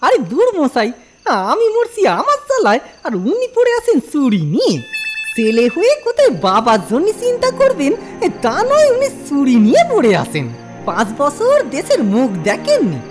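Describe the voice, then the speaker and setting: A woman voicing an impudent but good-hearted young male petty thief in a comedy cartoon, with animated rustic speech. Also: high-pitched. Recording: faint music behind.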